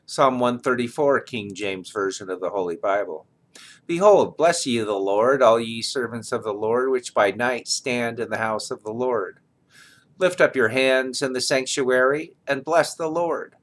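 An older man in his sixties gives a solemn scripture reading at a middle pitch. His phrasing is measured and declamatory, each short phrase set apart. He sits indoors, close to a desktop microphone. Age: 60s. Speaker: scripture reader. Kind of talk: solemn Bible reading aloud. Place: small indoor room, office chair.

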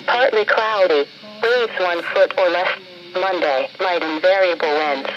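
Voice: medium pitch, measured pace, over music. A synthesized announcer reading a scripted forecast in clipped, impersonal phrases over weather radio. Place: played through a handheld radio indoors. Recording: muffled, noisy weather radio broadcast.

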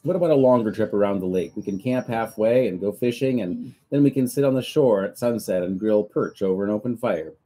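A man's voice: on the low side, brisk, dark tone, close to an everyday voice. A man reading as a patient old farmer. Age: older. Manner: patient, a little fussy.

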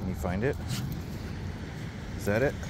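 A man's voice: fairly low pitch, measured pace, noisy background. A man, a dog trainer, talking playfully to his dogs on a beach at night, recorded on a handheld camera. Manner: coaxing, playful talk to dogs. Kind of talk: casual talk to his dogs.

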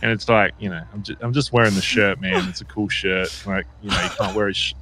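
A man's voice: low-pitched, fairly even intonation, quick pace, sharp tone, poor audio. A man improvising an in-character phone chat in a role-play game, rambling and hesitant, with some swearing. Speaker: gamer playing a biker role-play character.

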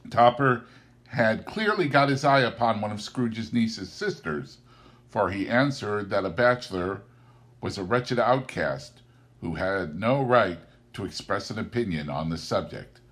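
A man reading a classic story aloud as an audiobook narrator, in an expressive, theatrical storytelling manner. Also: lowish voice, a little slow. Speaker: audiobook reader.

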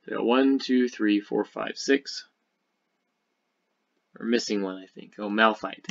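A man giving casual, unscripted gaming commentary as a video host, speaking haltingly as he thinks aloud. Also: lowish voice, vocal fry, dull audio.

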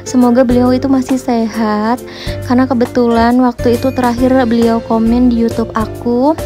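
A woman in her thirties talks viewers through her housework in a friendly, chatty voice-over, often turning to them directly. Her voice sits a little high for a woman. Steady background music plays under her words. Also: moderate pace. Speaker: housewife vlogger.

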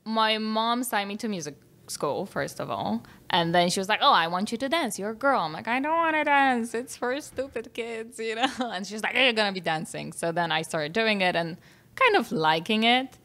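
A woman's voice: medium pitch, animated, normal speed, fairly bright tone. A woman in her thirties from Poland with a Polish accent, a guest on a podcast, chatting casually, laughing often and acting out quoted voices. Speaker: guest actor. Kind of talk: casual interview chat. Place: small home podcast studio, indoors. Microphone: boom mic, headphones.

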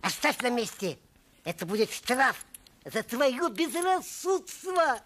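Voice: medium pitch, very animated, takes it slowly, a bit bright, full character voice. A performer voicing a servile, spiteful male newspaper editor in a cartoon, melodramatic and obsequious. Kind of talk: animated film acting.

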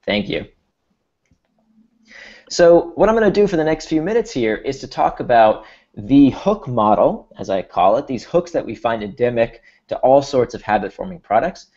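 A man's voice: mid-range voice, big pitch swings, normal speed, a little mellow. American-accented man presenting an online workshop. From Israel. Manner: explanatory, repeats words when restarting. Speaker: workshop speaker. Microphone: video call. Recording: dull lo-fi video call audio.

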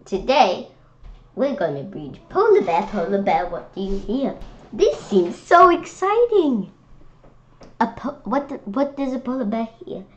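A woman reading a picture book aloud for children in an expressive, playful way, sometimes halting on words. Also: on the high side. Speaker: children's read-aloud host. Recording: dull, lo-fi sound.

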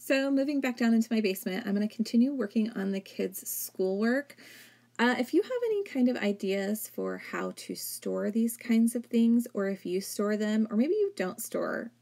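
A woman's voice: fairly high pitch, sing-song, normal speed, darkish tone. A woman in her thirties, a home vlogger, narrating casually over her cleaning footage, chatty and full of "like". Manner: chatty, filler-heavy with frequent "like". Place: home, indoors.

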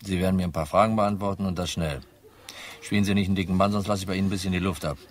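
An actor playing a tough, wry detective, terse and sardonic, in a hardboiled crime radio play. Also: male voice, sits low, fairly even intonation, very fast. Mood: menacing, mocking.

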